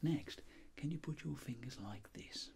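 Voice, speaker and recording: A middle-aged man whispering as a doctor in an ASMR roleplay, giving gentle, polite step-by-step instructions. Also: mid-range voice, unhurried, creaky. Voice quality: soft whisper. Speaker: ASMR performer playing a doctor.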